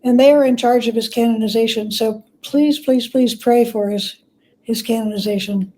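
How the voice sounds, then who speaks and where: A woman speaking as a guest author in a casual interview, gentle and agreeable in manner. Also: fairly high pitch, fairly even intonation, moderate pace.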